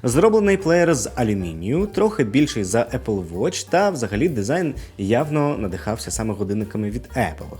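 A man's voice: mid-range voice, a little mellow. A man giving a conversational product review, casual in manner, with asides and rhetorical questions. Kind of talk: conversational video review to camera. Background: music.

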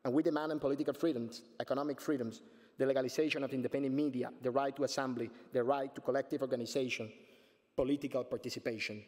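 A Cuban man in his forties with a Spanish accent, reading a prepared speech from a podium in an earnest, measured way and restarting words now and then. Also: mid-range voice, steady tone, darkish tone. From Cuba. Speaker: panel speaker, artist. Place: conference stage at a podium. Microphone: podium microphone. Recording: long hall reverb.

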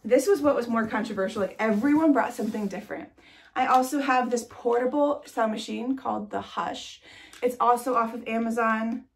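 A woman's voice: fairly high pitch, normal speed, creaky. A young woman in her twenties, congested and chatty, talking to camera in a nursery room. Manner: chatty, with many "like"s and light laughs. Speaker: vlogger. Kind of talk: casual chat to camera.

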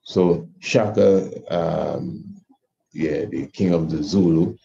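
A man teaching an informal history class, speaking deliberately and haltingly with drawn-out words. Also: sits low, creaky, dark tone. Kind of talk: informal lesson discussion. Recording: muffled, noisy online call.

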